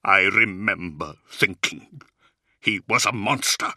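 A man voicing an anguished, bitter knight commander sliding toward madness, impassioned and full of weighted pauses, in a dramatic audiobook. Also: sits low, sharp tone, no room sound, studio quality, exaggerated voice. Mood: tearful confession. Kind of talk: dramatic audiobook character acting.

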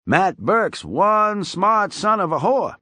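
Drunk old barfly, high and swooping, folksy and loud, played by a man. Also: very animated, takes it slowly, dry recording, studio quality, heavily acted. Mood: boozy. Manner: bawling, slurred folksy talk.

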